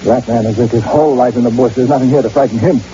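An actor playing a brusque, pompous, scornful doctor on a hunting trip in an old radio drama. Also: male voice, medium pitch, fairly even intonation, fast talker, mellow, close to an everyday voice. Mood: dismissive. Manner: dismissive, impatient, pompous. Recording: muffled, hissy vintage radio drama recording.

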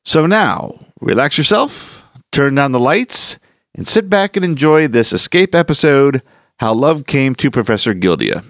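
A man's voice: mid-range voice, expressive, a little slow, a bit bright, dry recording, clean studio sound. A man hosting a podcast, reading a scripted introduction. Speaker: podcast host.

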